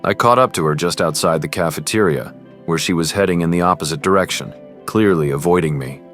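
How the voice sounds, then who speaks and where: A man's voice: sits low, a little fast, fairly bright tone. A man playing an earnest, privileged teenage boy who confides and narrates in an amateur romance drama. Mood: troubled. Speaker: character and first-person narrator. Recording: music behind the voice.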